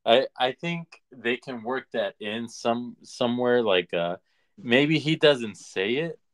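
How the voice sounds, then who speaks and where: A man co-hosting a podcast, giving firm opinions in casual, halting talk as he thinks aloud. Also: mid-range voice, animated, a bit bright. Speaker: podcast co-host.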